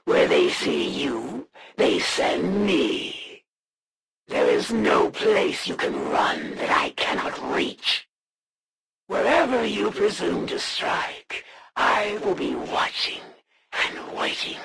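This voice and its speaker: Casting: proud alien warrior villainess for a video game; slow, measured, imperious delivery, gravelly edge, cold menace. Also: female voice, fairly low pitch, huge pitch swings, fairly bright tone, full character voice. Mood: solemn menace. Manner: formal, imperious, menacing, deliberate pauses.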